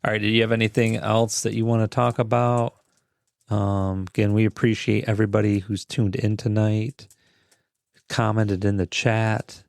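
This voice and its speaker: Low-voiced man chatting sports. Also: level tone, normal speed, creaky, dry recording. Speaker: podcast co-host. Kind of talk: casual sports chat.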